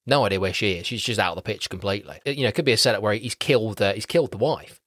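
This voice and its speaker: A man co-hosting a film podcast, talking in an animated, sweary and mocking way. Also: on the low side, very fast, no room sound, clean studio sound. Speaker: podcast co-host. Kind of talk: casual film review chat.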